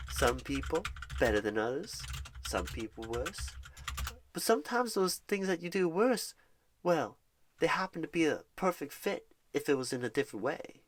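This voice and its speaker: A job recruiter in an ASMR roleplay phone screen, folksy and sweet, calling the listener darling and honey and sometimes dropping to soft whispers, with keyboard typing behind. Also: mid-range voice, expressive, normal speed, a little hiss or hum. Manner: drops to soft whispers at times.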